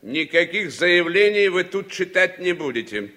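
An overbearing congressman in a radio drama, officious and curt. His voice is forceful and fairly high, with strongly swinging intonation. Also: animated, normal speed. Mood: cold, imperious. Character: brusque, overbearing congressman chairing a hostile hearing. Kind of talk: Soviet radio drama acting.

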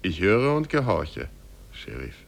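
An actor playing a lazy, naive young merchant's son in a 1950s German radio drama. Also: male voice, deep voice, wildly swooping pitch, a little slow, creaky, heavily acted. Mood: self-assured.

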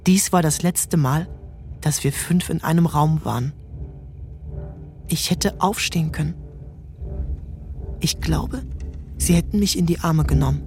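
A young actor narrating in first person as a troubled young man in a literary radio drama, calm and measured. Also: fairly high pitch, faint music behind. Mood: reflective. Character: troubled young man looking back on his youth.

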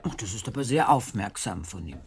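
Lebhafte, leicht raue viktorianische Gefährtin. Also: female voice, a bass voice, fairly quick, creaky, breathy edge, heavily acted. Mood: curious, wry. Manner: expressive, questioning, musing. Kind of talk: German radio play dialogue.

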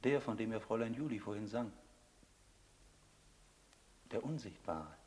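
An actor playing an enigmatic former soldier turned smuggler in a radio play, grave, resigned and cryptic. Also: male voice, on the low side, lively intonation, unhurried, darkish tone. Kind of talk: German radio play acting. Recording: long reverberant hall sound.

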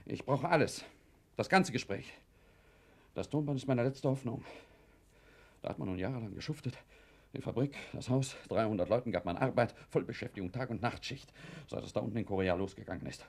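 An actor playing a nervous, blustering, middle-aged factory owner and former lieutenant with a guilty past in a German radio play. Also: male voice, mid-range voice, a little fast, vocal fry, darkish tone. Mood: nervous, urgent.

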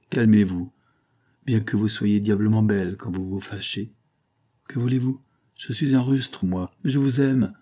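A man's voice: lowish voice, dark tone, lightly acted. A man reading an audiobook, voicing a drunken, bitter glassmaker husband who bullies and wheedles, emphatic and sardonic.

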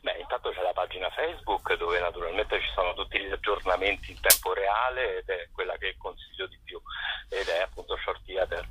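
A man's voice: low-pitched, pushed and bright, slight background noise, low-quality recording. A man answering interview questions as a festival director, explaining at length in a hesitant, discursive way.

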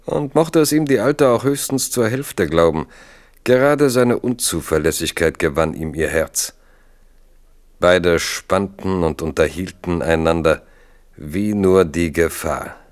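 Low-voiced male narrator for literary radio drama, scripted delivery. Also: lively intonation, unhurried.

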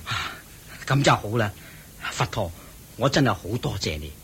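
An actor playing a devout lay host who eagerly and respectfully serves the Buddha, in a radio play. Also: male voice, fairly low pitch, expressive, normal speed, vocal fry, a bit bright, a little hiss or hum. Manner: respectful, eager, fussing politely. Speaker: householder hosting the Buddha. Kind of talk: Buddhist radio drama acting.

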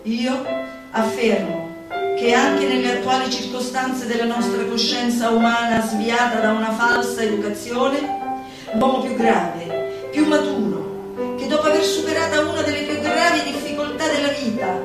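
Casting: actress as zealous female lecturer, high-pitched, theatrical, pompous and emphatic; live stage sound in a very echoey space. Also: unhurried, over loud music, lightly acted. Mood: pompous conviction. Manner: emphatic, pompous, mock-solemn. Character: zealous female lecturer preaching gleeful laughter at misfortune. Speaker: stage performer. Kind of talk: theatrical declamation of a comic manifesto. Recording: roomy echoing live venue, distant mic, faint noise.

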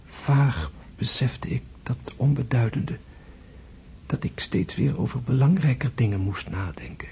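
An actor playing a gravely wounded prince in a radio drama, tender and philosophical, speaking in hushed, halting, weakened tones. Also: male voice, medium pitch, lively intonation. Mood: haunted, fearful. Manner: hushed, halting, intense delivery, often whispering. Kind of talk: Dutch radio drama acting.

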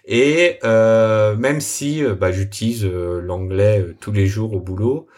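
A young adult man with a somewhat low voice talks through how he built his project. He hesitates a lot, filling gaps with small sounds and restarting his phrases. The tone is relaxed, like a casual interview. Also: slow pace. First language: French. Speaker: software developer guest.

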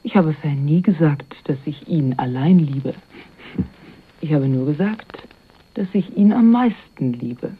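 Cool flirt, phone-like sound. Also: female voice, low voice, lively intonation, unhurried, husky, full character voice. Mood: cool, flirtatious. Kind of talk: German radio crime drama acting. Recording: narrow-band, noisy 1980s radio recording.

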